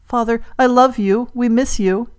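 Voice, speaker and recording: A woman voicing an earnest, grieving daughter in an audiobook, halting and emotional. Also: mid-range voice, darkish tone, natural voice. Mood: choked, tender. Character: grieving, earnest daughter seeking her dead father. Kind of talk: audiobook character acting.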